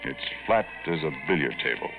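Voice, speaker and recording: A man's voice: extremely low pitch, very animated, normal speed, creaky, music in the background, heavily acted. An actor playing a folksy, wisecracking Air Corps veteran telling his tale in slangy American speech for radio drama. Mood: casual, folksy. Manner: colloquial, slangy, confiding. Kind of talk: old-time radio first-person storytelling. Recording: tinny, band-limited vintage radio recording.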